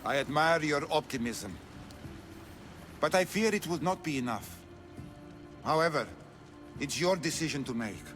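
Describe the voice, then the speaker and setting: An actor playing a cold, formal, embittered noble of an ancient race who despises humans, in a video game drama. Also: male voice, a little high, lively intonation, a little slow, pauses often, fairly bright tone, faint music behind.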